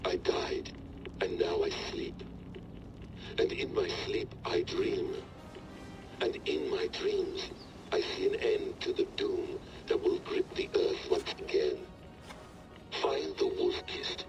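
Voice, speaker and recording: Solemn cryptic prophet guy, really low, unhurried, portentous, music swelling over it. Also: expressive, exaggerated voice, low-quality recording. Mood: solemn, ominous. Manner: portentous, incantatory, measured. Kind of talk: dramatic video game monologue.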